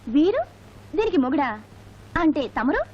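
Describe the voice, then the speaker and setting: High, animated, sassy young woman. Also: a little slow, a crowd in the background. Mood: scornful. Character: sassy, sharp-tongued young woman scorning suitors. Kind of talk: Telugu film comedy acting. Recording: dull film soundtrack.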